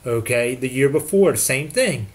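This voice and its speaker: A man's voice: medium pitch, lively intonation, measured pace. A man co-hosting a casual sports talk show, trading teasing, offhand remarks. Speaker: co-host.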